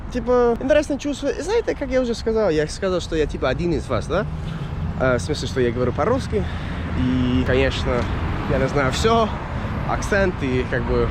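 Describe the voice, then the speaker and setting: Young man, American accent, non-native speaker, high voice, rambling casual vlog talk, many fillers, traffic noise behind. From United States. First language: English. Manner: rambling, searching for words, many fillers and restarts. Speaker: vlogger. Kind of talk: casual chat to camera.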